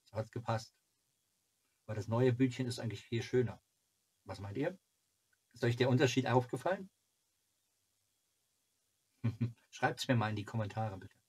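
Man, forties, mid-pitched voice. Casual home vlog host, halting delivery, muses to himself while showing items. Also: darkish tone, slightly rough. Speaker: fan club video host. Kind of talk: casual chat reviewing fan merchandise. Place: small cluttered home room, indoors.

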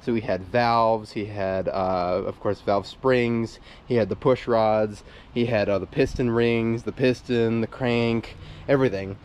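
A man's voice: lowish voice, steady tone, measured pace, a little mellow, clean tone. A man showing off an old motorbike in a casual vlog, enthusiastic and rambling, with fillers and restarts, recorded outdoors on a handheld camera. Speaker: YouTube motorbike restorer. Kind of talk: casual show-and-tell vlog. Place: outdoors on a brick patio by a shed.

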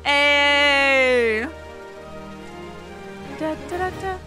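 A woman giving casual game stream commentary in an expressive, playful way, sometimes swearing. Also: sits high, extremely slow, faint music behind.

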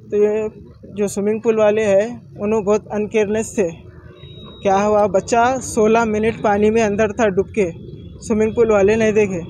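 A young man talks to the press on an outdoor street into a bunch of news microphones. His voice sits high and stays flat, with a soft, mellow tone. He speaks haltingly, repeats himself, and slips English words into his speech. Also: no room sound, rough recording. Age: young adult. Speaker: bereaved brother giving a statement. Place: outdoors on a street before a crowd. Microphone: cluster of press microphones.

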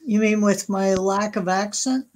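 A woman's voice: mid-range voice, a little slow, darkish tone. Dry-humored Canadian woman. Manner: dry, self-deprecating humor. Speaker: co-host on a live stream.

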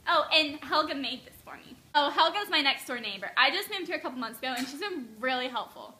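A woman's voice: high voice, expressive, quick pace, pushed and bright, slight background noise. A teenage girl acting a chirpy young mother in a mock documentary interview, gushing and giggly, recorded on a camera mic indoors. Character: chirpy young mother, cheerful newcomer neighbor. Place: living room indoors.

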